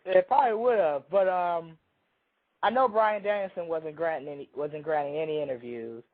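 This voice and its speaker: A man co-hosting a radio talk show, telling a long, rambling story while hoarse from a cold. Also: fairly high pitch, moderate pace, phone-quality sound. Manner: rambling, self-interrupting storyteller. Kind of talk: casual chat, storytelling.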